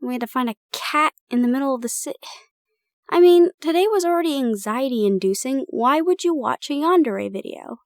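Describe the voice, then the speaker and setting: A woman's voice: high voice, expressive, no room sound, studio quality. A woman playing a bossy, caring roommate. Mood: chiding chat. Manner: brisk and bossy, then soft and soothing. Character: protective, scolding young woman roommate. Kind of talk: improvised ASMR roleplay.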